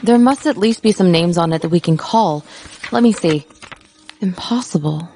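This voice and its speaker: A woman playing an impatient, practical young wife in an audio drama, with a brisk, exasperated, no-nonsense manner. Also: on the low side, warm tone, lightly acted. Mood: alarmed, baffled. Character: impatient, practical, sharp-tongued wife on a road trip.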